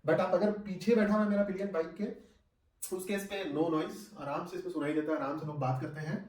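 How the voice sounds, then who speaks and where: A man talks through a product review in a casual, conversational way. His voice sits a little higher than average. He is some way from the microphone in a clearly echoey room, and he drops English phrases and short check-in questions into his talk. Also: steady tone, a little fast, darkish tone, far from the mic. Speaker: vlogger reviewing gear.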